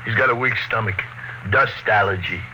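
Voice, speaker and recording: A man's voice: fairly low pitch, moderate pace, pushed and bright. An actor playing a tough, suspicious, brutal expedition crewman with blunt, slangy speech in an old radio drama. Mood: coldly casual. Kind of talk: 1950s radio drama acting. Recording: noisy old radio broadcast.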